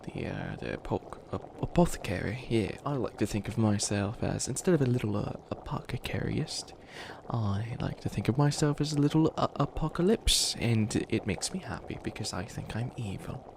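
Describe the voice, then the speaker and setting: A man's voice: on the low side, lively intonation, moderate pace. A man voicing a flustered, youthful bunny witch who wants to be evil, stammering and rambling defensively in a comedic ASMR roleplay. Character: flustered 853-year-old youthful bunny witch, harmlessly wannabe evil. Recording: clearly noisy ambience behind voice.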